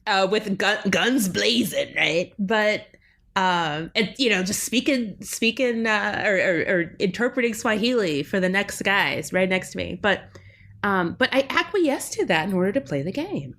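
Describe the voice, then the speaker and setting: A woman's voice: medium pitch, expressive, a little slow, fairly bright tone. A woman linguistics professor talking as a podcast guest in a reflective, academic way, often checking in with "right?". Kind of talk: academic interview talk.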